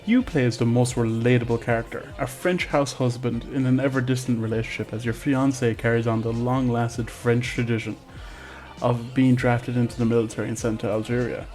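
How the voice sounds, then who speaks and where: A man's voice: medium pitch, steady tone, a little fast, darkish tone, soft background music. A man reading a scripted video game review in a wry, tongue-in-cheek way.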